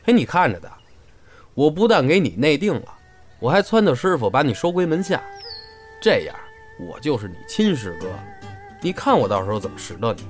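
A man's voice: on the high side, big pitch swings, a little fast, soft background music. A man playing a good-natured but blunt senior comedy apprentice in an audio drama, bantering. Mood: teasing. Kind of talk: audio drama acting, colloquial dialogue.

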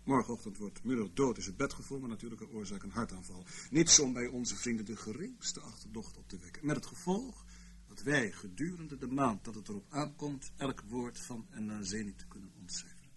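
A man's voice: medium pitch, expressive, fairly quick, a bit bright, natural voice. A man acting in a radio play as a wry, officious senior civil servant in intelligence, urbane and mock-regretful. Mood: smooth, persuasive.

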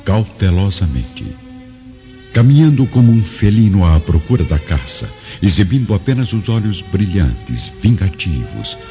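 A man's voice: low voice, mellow. A man narrating a scripted drama in a solemn, theatrical manner, recorded muffled with long reverb and music behind.

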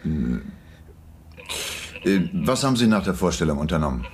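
An actor playing an unhurried, quietly sceptical police investigator in a radio drama, putting clipped questions with musing pauses. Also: male voice, deep voice, sing-song, slight background noise. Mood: businesslike, probing. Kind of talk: German radio drama acting.

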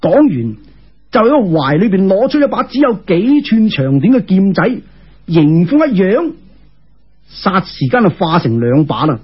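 A man telling a folk tale in Cantonese in a theatrical, colloquial storytelling style with exclamations and drawn-out particles, on a lo-fi recording. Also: high voice, big pitch swings, breathy edge.

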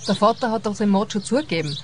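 An actress plays a sharp-tongued, cynical woman. She is impatient and sardonic at a medium pitch, with faint birdsong behind her in the radio drama. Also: expressive, quick pace, background noise, natural voice. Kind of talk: radio play acting.

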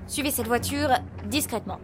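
An actress playing an earnest, determined sister and amateur investigator in an audio drama. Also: female voice, a little high, moderate pace, background noise, lightly acted. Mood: urgent, persuasive. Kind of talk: French audio drama narration and acting.

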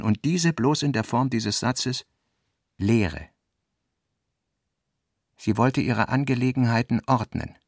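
A man's voice: lowish voice, gravelly, no room sound, clean studio sound. A man with a Standard German accent reading scripted literary narration as the narrator of a radio production.

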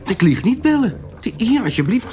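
Teasing rogue with a swooping voice. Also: male voice, extremely high pitch, sing-song, normal speed, noisy background. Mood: breezy, soothing. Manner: bantering, incredulous, swooping emphasis. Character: teasing, sceptical male rogue, ex-soldier's companion. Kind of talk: Dutch historical radio drama acting.

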